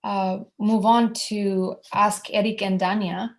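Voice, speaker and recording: A woman moderating a panel discussion who asks questions in a soft-spoken, slightly halting way. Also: mid-range voice, flat delivery, creaky.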